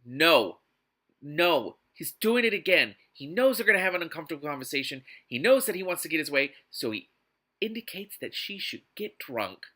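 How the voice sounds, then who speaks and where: Male reader-commentator, forceful bright tone, exasperated and emphatic delivery. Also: on the high side, very animated, moderate pace. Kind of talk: scripted reading broken by indignant commentary.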